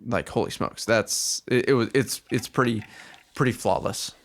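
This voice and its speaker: A man co-hosting a podcast, chatting casually about video games in slangy, halting phrases with repeated words. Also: medium pitch, slight background noise. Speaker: podcast co-host.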